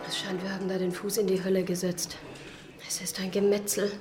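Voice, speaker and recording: An actress playing a grave, quietly reproachful police officer in a German radio crime drama. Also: female voice, mid-range voice, flat delivery, a little fast, faint music behind, lightly acted. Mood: somber, wistful. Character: somber, quietly reproachful female police colleague. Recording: roomy, echoing radio play scene.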